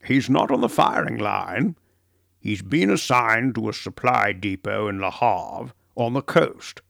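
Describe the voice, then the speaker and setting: This is a thoughtful middle-aged professor in a storybook reading, voiced by a man. He speaks slowly and carefully, in a gravelly mid-range voice. The sound is dry and close. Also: lowish voice, animated, a bit bright, dry recording, full character voice. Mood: reassuring.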